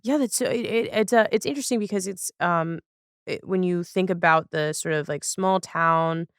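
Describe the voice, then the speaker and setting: A podcast host with a smooth, clear voice chats casually with a guest. The phrasing rambles agreeably, and the recording is very dry and free of room sound. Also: medium pitch, moderate pace, no echo. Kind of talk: casual conversational interviewing.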